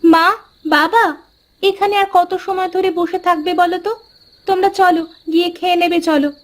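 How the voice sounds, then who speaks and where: A woman playing a girlish, whiny young village daughter in an animated folktale, speaking in a pleading way. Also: extremely high pitch, normal speed. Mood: urging.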